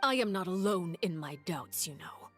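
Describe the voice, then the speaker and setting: An actress playing a poised young woman diplomat in a video game, deliberate and mock-courteous, asking pointed questions with polite menace. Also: lowish voice, animated, fairly bright tone. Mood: challenging. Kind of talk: dramatic video game voice acting. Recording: faint background music.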